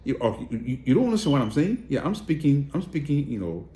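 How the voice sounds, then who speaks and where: A man's voice: medium pitch, sing-song, quick pace, warm tone, roomy sound, slight background noise. A man with a Liberian accent giving direct, emphatic political commentary and appealing to viewers. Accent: Liberian English.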